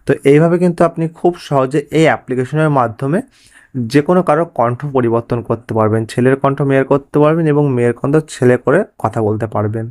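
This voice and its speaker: A man's voice: medium pitch, fast talker, darkish tone. A man hosting a step-by-step app tutorial, addressing viewers directly in an instructional way. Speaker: tech tutorial host.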